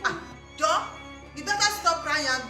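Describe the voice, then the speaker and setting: A woman's voice: high voice, lively intonation, moderate pace. A woman playing a shrewd older aunt who mocks, then lectures her niece. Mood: mocking. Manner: brusque, mocking, then lecturing. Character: shrewd, scolding older aunt giving advice. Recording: roomy with music behind.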